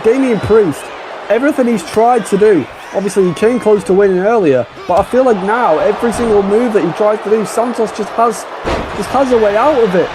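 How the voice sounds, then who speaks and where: A man calling a wrestling match play by play, excitable and often shouting over the action. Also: sits high, fairly quick. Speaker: play-by-play commentator. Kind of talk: wrestling commentary. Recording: loud crowd and noise behind.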